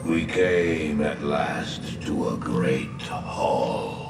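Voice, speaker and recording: A haunted, half-broken wanderer narrates a dark game cinematic in a very low, gravelly voice. He speaks slowly and theatrically, swinging between hushed dread and agitation. Heavy music surrounds the echoey voice. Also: exaggerated voice. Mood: fearful, wondering. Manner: dramatic, halting storytelling. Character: haunted, frail, half-mad male wanderer recounting horrors. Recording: echoey, distant, under loud cinematic music.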